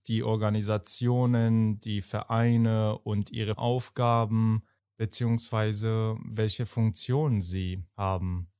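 Podcast co-host, male, slow and measured, a bit low, dry room. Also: a little mellow, clear, resonant tone, dry recording. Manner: measured and deliberate, stretching words. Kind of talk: podcast conversation, partly reading a quote aloud.

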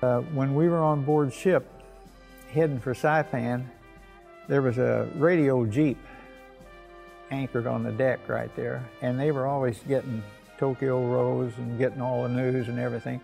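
A man's voice: mid-range voice, steady tone, measured pace, creaky, darkish tone, soft background music, poor audio. An elderly man, a war veteran, telling his memories in plain, rambling, unscripted oral history. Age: 80s or older. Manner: rambling, plain-spoken storytelling.

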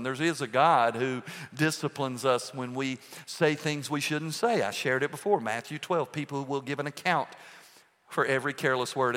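A pastor preaching a sermon, emphatic and full of rhetorical questions. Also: male voice, mid-range voice, a bit bright. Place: church sanctuary. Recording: long reverb in a church hall.

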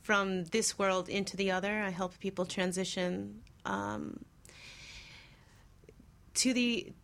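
A woman speaking casually as a talk show guest, a doula, in measured phrases with pauses. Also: medium pitch, expressive, a bit bright.

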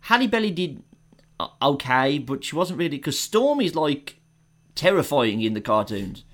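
A man's voice: a little high, very animated, normal speed, a bit bright. A man, co-hosting a podcast and chatting casually about films with strong, eager opinions. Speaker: podcast co-host. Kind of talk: casual film chat.

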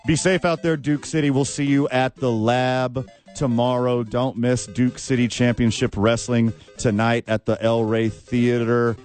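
A man with a Texan accent hosting a sports radio show, talking with loud, hype-man enthusiasm. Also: medium pitch, level tone, a little slow, faint music behind. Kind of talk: casual sports radio chat.

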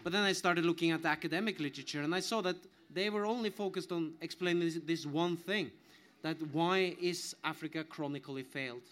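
Male academic panelist, slightly high voice, explaining in a halting, self-correcting way. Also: a bit bright. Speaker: author and academic guest.

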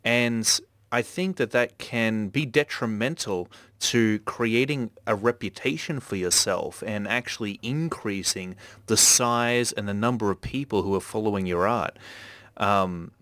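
A man hosting a podcast, talking at length in a relaxed, reflective way with many fillers. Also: fairly low pitch, measured pace, dry recording. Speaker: podcast host. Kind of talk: casual podcast chat.

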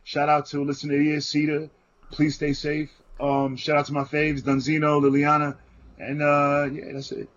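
A man's voice: a little high, completely flat delivery, normal speed, muffled recording. Loose, self-mocking man on a podcast. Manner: loose and self-mocking, giving shout-outs. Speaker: podcast co-host. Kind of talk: casual chat.

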